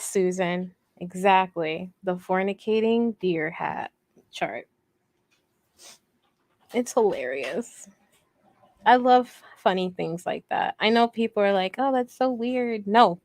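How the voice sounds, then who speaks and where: A woman with a soft voice, hosting a casual craft livestream in a rambling, playful, self-deprecating way as she answers viewer comments. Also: medium pitch, dry recording. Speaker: host of a crochet livestream.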